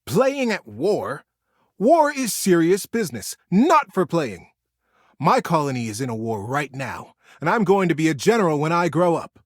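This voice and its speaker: Proud little male beaver acted by a man, raised pitch, pompous and emphatic, storybook style. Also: very animated, moderate pace, dry recording, studio quality. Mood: indignant, boastful. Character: proud, serious young male beaver, aspiring general.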